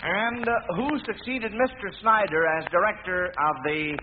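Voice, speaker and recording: High-pitched man hosting vintage radio quiz. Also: expressive, unhurried. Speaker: quiz show host. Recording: noisy lo-fi vintage radio broadcast.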